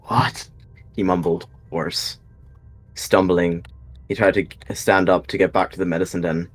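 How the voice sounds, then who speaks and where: A man's voice: deep voice, little pitch movement, moderate pace, soft background music. A man narrating a dramatic roleplay story as a storyteller.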